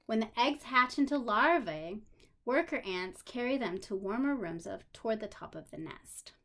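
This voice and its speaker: A woman teacher reading aloud to young children in an expressive manner, pausing for simple explanations and questions. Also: a little high. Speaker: special education teacher.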